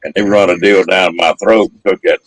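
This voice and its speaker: Male, very low gravelly voice, folksy casual storyteller, close dry sound. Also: flat delivery, a little fast, no echo, low-quality recording. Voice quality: deep, damaged-throat gravelly voice. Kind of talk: casual chat.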